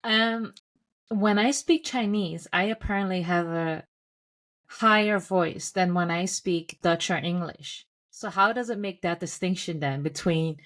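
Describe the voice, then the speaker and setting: A woman's voice: on the low side, a bit bright. A young woman co-hosting a casual podcast chat, asking direct questions and telling anecdotes with animation. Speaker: podcast co-host.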